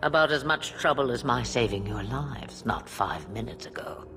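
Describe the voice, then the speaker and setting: A woman playing an ancient, sly witch in a fantasy game, with a low voice that turns breathy, speaking in a teasing, theatrical drawl. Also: lively intonation. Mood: wry. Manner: theatrical, drawn-out, teasing, sardonic. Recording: game dialogue under clear music.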